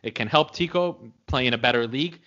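A young man co-hosting a sports podcast, thinking aloud in a measured, casual way. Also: medium pitch, a bit bright. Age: young adult. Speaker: podcast co-host. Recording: dull lo-fi audio.

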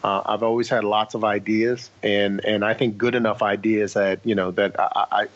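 A man with a fairly low voice talks conversationally, as if answering in an interview. His delivery is halting, circling back and repeating words before moving on. The recording is a little dull and lo-fi. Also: little pitch movement. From South Korea, later adopted. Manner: halting, repeats and restarts phrases often. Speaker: podcast guest, author and entrepreneur. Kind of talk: casual conversational interview talk. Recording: dull, lo-fi audio.

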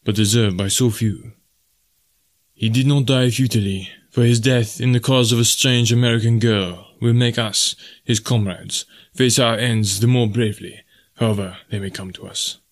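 A man reads the part of a French naval officer in an adventure tale, grave and loyal. His voice sits somewhat low, and he speaks with formal, solemn dignity. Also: lightly acted.

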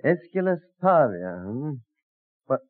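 A man's voice: medium pitch, big pitch swings, slow. An actor playing a wry, amused statesman in an old-time radio drama, with a teasing manner. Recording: muffled old radio broadcast.

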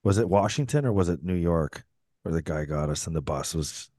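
A very deep-voiced man co-hosts a casual podcast, speaking bluntly and matter-of-factly. His short interjections sound close and dry. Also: animated, fairly quick, mellow, dry recording. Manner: blunt, matter-of-fact, chimes in briefly. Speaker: podcast co-host, former hockey player. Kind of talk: casual chat.

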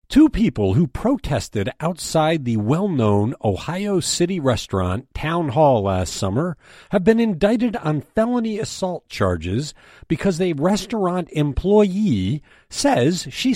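A man's voice: fairly high pitch, warm tone, no echo, clean studio sound. A man with an American accent reading a scripted news briefing as its host and editor.

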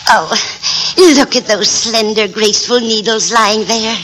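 A woman's voice: on the high side, steady tone, measured pace, a bit bright, rough recording. An actress playing a dotty, motherly older landlady in a 1940s radio comedy, fond, earnest and cheerfully oblivious. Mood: proudly enthusiastic. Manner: fluttery, fond, earnest about silly things. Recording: old radio recording with faint noise.

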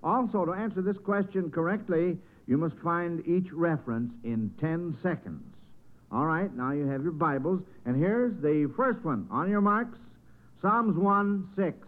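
A man's voice: on the high side, lively intonation, slow. A man with an American accent hosting an old-time radio quiz in a folksy, encouraging, chatty manner. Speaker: quiz show host. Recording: muffled narrow-band vintage radio recording.

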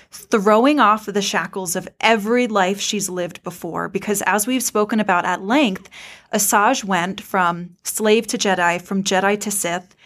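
A woman's voice: mid-range voice, a bit bright. A woman hosting a podcast, giving articulate, emphatic opinions in a casual fan discussion. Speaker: podcast host.

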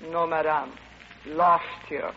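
Through a muffled, noisy old radio broadcast comes an earnest Soviet woman envoy. She speaks slowly and solemnly, deadpan yet romantic, in vintage radio-play style. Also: low voice. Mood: cool, dry.

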